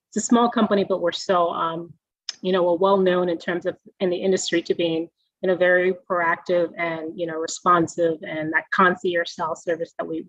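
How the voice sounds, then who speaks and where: A young woman from the Bahamas, a guest answering interview questions in a friendly, measured way with frequent fillers over a video call. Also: lowish voice, no echo. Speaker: guest, self-directed IRA specialist. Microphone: video call mic. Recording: dull, dry video call audio.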